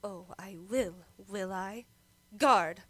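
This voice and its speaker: A woman's voice: mid-range voice, takes it slowly, a bit bright, no room sound. A woman playing a wily, haughty young princess in an amateur radio play, imperious and persuasive. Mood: defiant.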